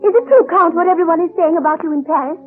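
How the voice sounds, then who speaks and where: A woman's voice: very high voice, fast. A woman playing an elegant, agitated, wistful baroness in a formal, emotional 1930s radio drama style. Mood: wistful, probing. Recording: muffled old radio broadcast with music.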